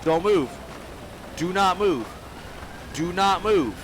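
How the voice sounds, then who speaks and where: A man hosting a police game video, talking casually over the gameplay while he is sick with a cold, and shouting commands at suspects during stops. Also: high-pitched, expressive, slow pace, noisy background. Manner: barks loud commands at suspects.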